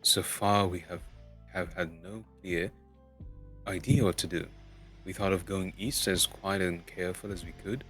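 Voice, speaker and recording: A man's voice: deep voice, steady tone, normal speed, a bit bright. A man voicing a proud, doubtful dwarf leader in an amateur storybook reading, grave and skeptical. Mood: cautiously hopeful. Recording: music under the voice.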